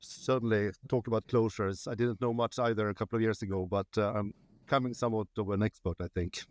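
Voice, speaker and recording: A man from Sweden, answering interview questions in a halting, plainspoken way with many fillers. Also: fairly low pitch, fairly even intonation, darkish tone, dry recording, studio quality. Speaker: company CEO, interview guest. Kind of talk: conversational interview.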